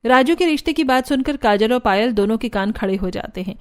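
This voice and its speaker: A woman's voice: on the high side, expressive, a little fast. A woman narrator reading a scripted family cartoon story.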